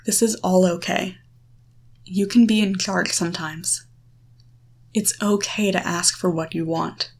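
A woman guiding the listener through a visualization, coaching in short, deliberate phrases with a reassuring, instructive manner. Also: on the low side, steady tone. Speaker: guide leading a guided erotic confidence exercise. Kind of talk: guided visualization, second-person coaching.

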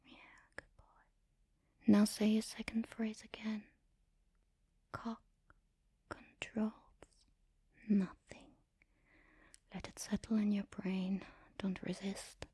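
A woman speaking in an intimate, commanding and teasing erotic ASMR style, in a breathy whisper close to the mic. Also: medium pitch, flat delivery, takes it slowly, pauses often, dark tone, dry recording. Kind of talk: erotic ASMR, guided audio roleplay.

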